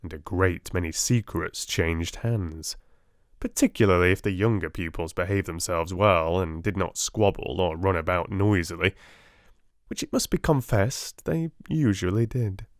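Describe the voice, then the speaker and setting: A man with a British accent giving a soft-spoken storybook narration as an audiobook reader. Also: low voice, measured pace, dry recording, clean studio sound.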